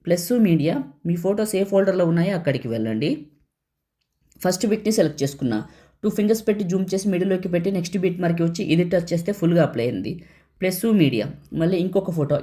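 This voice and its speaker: A woman narrates a how-to screen tutorial in a low, mellow voice. She moves quickly through the steps, giving short, practical instructions. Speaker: tutorial host.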